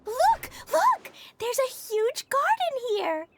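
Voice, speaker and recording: A woman voicing an excitable, curious young girl adventurer in a video game cutscene, with a childlike, exclaiming delivery. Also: very high-pitched, takes it slowly, soft background music, exaggerated voice. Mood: excited.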